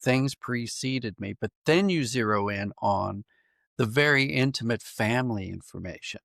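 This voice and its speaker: A man's voice: medium pitch, moderate pace, creaky, a bit bright, no room sound, studio quality. A man speaking as an interview guest, reflective and conversational, thinking aloud and correcting himself as he goes. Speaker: podcast guest, writer and dramatist.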